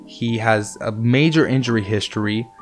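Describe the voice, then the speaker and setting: A hoarse young man, getting over a sickness, hosting a casual, opinionated monologue about sports signings. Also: on the low side, moderate pace. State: hoarse from illness. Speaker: host. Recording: faint music underneath. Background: soft background music.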